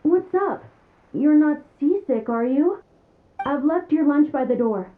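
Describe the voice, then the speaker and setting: A woman's voice: high-pitched, lively intonation, measured pace, a little hiss or hum. An actress playing a caring female shipmate who calls in with concern. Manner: concerned, kindly calling through a door. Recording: muffled, tinny, from behind a door.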